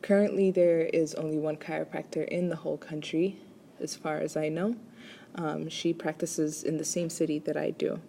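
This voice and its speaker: Woman, low flat voice, hesitant school presentation. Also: normal speed, gravelly, a little hiss or hum. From Rwanda. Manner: hesitant, many ums and restarts. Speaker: chiropractic student. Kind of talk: presenting a class assignment.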